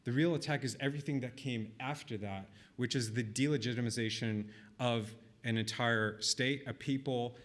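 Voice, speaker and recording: A middle-aged man speaks at a conference in an ordinary mid-range voice. He works through his argument in a measured, thoughtful way. He pauses on ums and restarts small phrases as he goes. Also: mid-range voice, fairly even intonation. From Israel. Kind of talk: delivering a speech.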